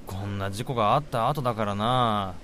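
A man acting a caring senior office worker in a Japanese radio drama, talking in a brisk, informal way. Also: on the low side, fairly bright tone, slight background noise, lightly acted. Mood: matter-of-fact. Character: concerned, casual senior male office worker looking after juniors.

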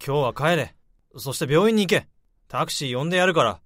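A caring but commanding office boss, his voice high and lively, swinging wildly as he barks orders. The tone is bright and sharp, and it sits close and dry in a radio drama. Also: fairly quick, dry recording. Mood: worried. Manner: urgent, commanding, shouting for help. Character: alarmed male boss, middle-aged, bossy but caring.

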